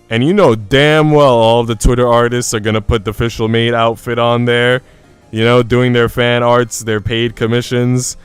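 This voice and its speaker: Man, game streamer, clean smooth voice, casual slangy delivery, close dry mic, music behind. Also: mid-range voice, dry recording. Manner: informal slangy talk. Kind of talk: casual livestream chat and reading aloud visual novel text.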